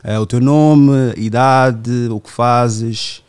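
A man hosts a relaxed podcast chat. He rambles and jokes, restarts his sentences, and has an ordinary mid-range voice. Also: mid-range voice, very slow, clear, resonant tone. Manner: rambling, joking, with many restarts. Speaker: podcast host. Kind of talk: casual podcast interview.

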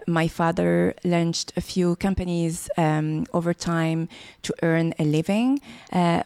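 A woman, giving podcast interview answers. She speaks slowly in a low, gravelly voice, pausing often on fillers and restarting her phrases. The recording is close and dry. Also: a little mellow, no echo. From Morocco. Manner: hesitant, many fillers and restarts. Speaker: podcast guest, startup programs director.

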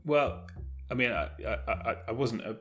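A man's voice: medium pitch, animated, normal speed, fairly bright tone, faint music behind. A man talking casually as an interview guest about producing audio drama.

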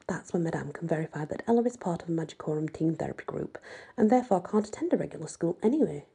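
Very husky, mellow woman reading a story. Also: lowish voice, expressive, fast talker. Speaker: audiobook reader. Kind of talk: first-person scripted story narration.

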